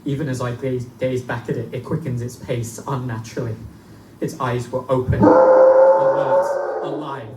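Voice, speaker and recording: A man performs a haunted young English student, grimly determined, in a live gothic stage drama. The delivery is intense and deliberate at a medium pitch. It is heard from far off in an echoing, noisy space. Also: expressive, lightly acted. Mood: frightened urgency. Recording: echoey, noisy live bookstore recording from afar.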